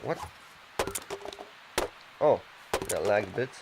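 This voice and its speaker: A man narrating his own gameplay in a relaxed, chatty way, thinking out loud as he goes. His voice sits a little low. A clear layer of background noise runs under the recording. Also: slow. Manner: thinking aloud, chatty, reads game text aloud. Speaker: let's play gamer. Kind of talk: live game commentary.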